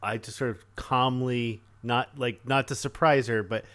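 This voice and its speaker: A man's voice: mid-range voice, steady tone, a little slow, fairly bright tone. A man chatting casually as a player in a tabletop roleplaying game, describing what his character does. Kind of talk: casual improvised roleplay chat.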